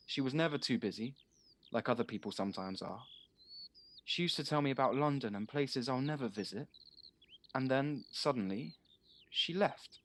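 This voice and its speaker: A man's voice: medium pitch, fairly bright tone, close to an everyday voice. Childlike, earnest male played by an actor, slightly noisy. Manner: simple, blunt, earnest short sentences. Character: innocent, childlike, plain-spoken grieving male friend. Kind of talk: naturalistic audio drama acting. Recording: faint noise on home-device recording.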